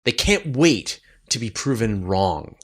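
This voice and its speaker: A man's voice: fairly low pitch, sing-song, slow, fairly bright tone. A man hosting an interview, talking through ideas in a conversational way. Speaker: interviewer and show host.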